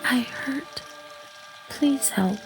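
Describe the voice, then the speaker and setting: A frightened female companion android, acted by a woman, just waking up. The voice is gentle, breathy and fairly high, speaking slowly over music, in radio-drama style. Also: exaggerated voice. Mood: frightened, dazed.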